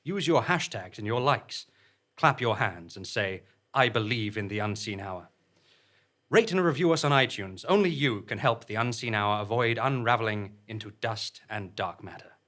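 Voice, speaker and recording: A man's voice: medium pitch, lively intonation, moderate pace, a bit bright. A man hosting a podcast, reading a scripted comic announcement in a dramatic, mock-serious manner. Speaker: podcast host and performer.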